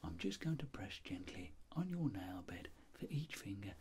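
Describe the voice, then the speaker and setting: A man's voice: fairly low pitch, big pitch swings, background noise. Whispering middle-aged man, ASMR doctor. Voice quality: soft whisper. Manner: gentle, polite, slow step-by-step instructions. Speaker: ASMR performer playing a doctor. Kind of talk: ASMR medical roleplay.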